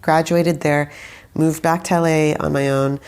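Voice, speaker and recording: Young woman, low gravelly voice, hesitant and rambling, casual interview guest. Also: fairly even intonation, a little slow, a little mellow. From Saudi Arabia. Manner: rambling, hesitant, many fillers and restarts. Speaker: guest, musician.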